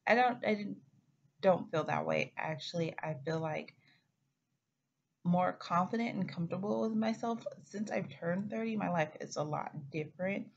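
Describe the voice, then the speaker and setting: Woman, 30s, from Oklahoma. Low, gravelly voice. Chatty vlog delivery with self-corrections. Slightly dull recording. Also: normal speed. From Oklahoma, United States. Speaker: flight attendant vlogger. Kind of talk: casual chat to camera. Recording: slightly muffled with faint background hiss.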